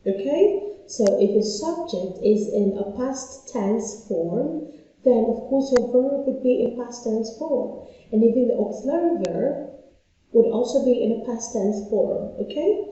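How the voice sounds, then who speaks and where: A woman teaching an English lesson, talking slowly and playfully, restarting and repeating phrases as she explains. Her voice is dark and mellow yet sits a little high. The sound is very echoey and slightly dull. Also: lively intonation. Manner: chatty, self-interrupting, repeats phrases. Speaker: online English tutor.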